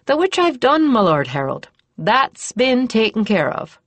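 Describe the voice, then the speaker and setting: A woman's voice: a little high, sing-song, unhurried, no echo, voice pushed up. A woman doing a rustic, deferential male provost marshal. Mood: dutiful. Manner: rustic, deferential, plain-spoken. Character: plodding, dutiful middle-aged male provost marshal.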